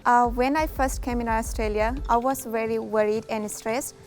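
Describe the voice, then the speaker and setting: Flat-toned woman from Afghanistan. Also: a little high, normal speed, faint music behind. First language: not English. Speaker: employee sharing her story. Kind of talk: interview testimony.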